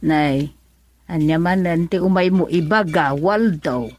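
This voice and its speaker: A woman's voice: on the low side, slow pace, over loud music. An actress playing a stern older mother-in-law who reproaches her son-in-law in an Ilocano radio drama. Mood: reproachful. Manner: scolding, reproachful.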